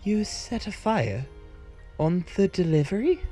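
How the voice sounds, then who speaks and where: Man acting worried father, voice pitched up. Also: very animated, unhurried, mellow, soft background music. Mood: alarmed. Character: worried, questioning father of a young fire mage. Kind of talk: dramatized fantasy story reading.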